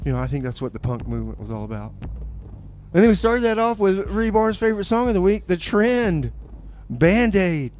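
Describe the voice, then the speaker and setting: A man's voice: sits high, sing-song, normal speed, a little mellow. A man hosting a community radio music show with casual, folksy, laid-back patter.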